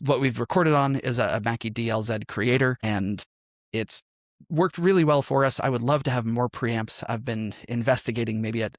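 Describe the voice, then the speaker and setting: A man's voice: mid-range voice, no room sound. Man explaining things thoughtfully, very dry sound. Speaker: podcast guest, musician and role-playing game performer. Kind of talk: conversational interview.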